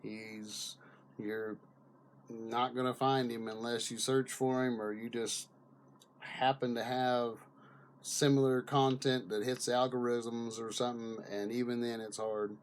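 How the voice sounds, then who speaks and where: A man talking off the cuff in a casual, rambling vlog style, often pausing and circling back as he goes. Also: medium pitch, little pitch movement, slight background noise. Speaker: vlogger. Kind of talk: rambling casual commentary to camera.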